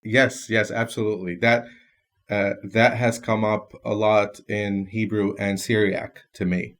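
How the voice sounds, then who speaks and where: A man from Lebanon whose first language is Lebanese Arabic, speaking as a podcast guest in a thoughtful way with pauses and restarts. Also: lowish voice, little pitch movement, a bit bright. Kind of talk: casual conversation.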